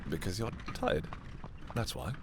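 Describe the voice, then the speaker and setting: Casting: male actor as a dark romantic prince, low-voiced, commanding, possessive, with widely swinging intonation, for fantasy ASMR roleplay. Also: expressive, noisy background. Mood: teasing, soft. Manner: slow, deliberate, imperious yet intimate. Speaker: audio roleplay performer.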